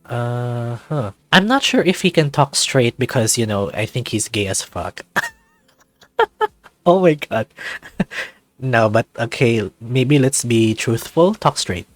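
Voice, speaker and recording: A man's voice: mid-range voice, sing-song, takes it slowly, dry recording. Man narrating a game, dry close sound. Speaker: channel host playing a visual novel. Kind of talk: let's play narration, reading game text aloud.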